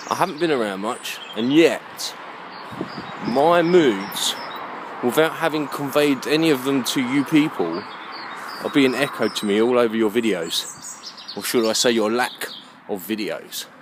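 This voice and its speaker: A man with a mid-pitched voice thinks aloud as he walks. His delivery is halting and musing, often turning into questions to himself. He is recorded outdoors with clear background noise around him. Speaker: vlogger. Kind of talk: rambling walk-and-talk monologue. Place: outdoors, walking along a muddy alleyway.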